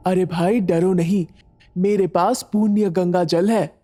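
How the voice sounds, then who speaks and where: A man's voice: high-pitched, steady tone, slow, warm tone, soft background music. An actor playing a brave male villager in a horror story, firm and commanding. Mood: reassuring. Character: brave, reassuring male villager confronting a demon.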